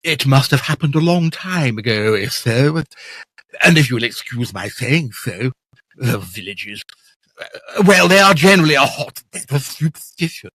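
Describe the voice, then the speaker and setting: A hesitant, obsequious butler, acted by a man in a period audio drama. His voice swoops up and down in pitch, and the sound is close and dry. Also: expressive, unhurried, fairly bright tone, slightly rough, no room sound, heavily acted. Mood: evasive, hesitant. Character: deferential, evasive butler, nervously discreet and gloomy.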